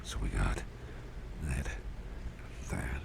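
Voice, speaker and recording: Very deep, gravelly, gentle ASMR boss played by a man. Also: full character voice. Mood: muttering, preoccupied. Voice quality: soft, breathy half-whisper with gravelly fry. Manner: tender, reassuring, unhurried, hesitant murmuring. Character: gentle, doting businessman boss caring for his employee. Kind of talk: ASMR roleplay, personal attention. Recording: clear background noise with ambience.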